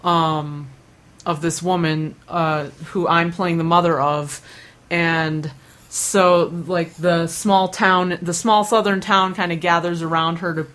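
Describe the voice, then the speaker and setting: A woman's voice: lowish voice, steady tone, slow, a bit bright. A young woman rambling through casual stories, with lots of fillers, restarts and self-deprecating asides. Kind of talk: casual chat.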